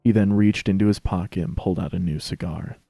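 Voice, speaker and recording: A man's voice: sits low, steady tone, fast, gravelly, dark tone, no echo. A man narrating a dramatic reading of fan fiction.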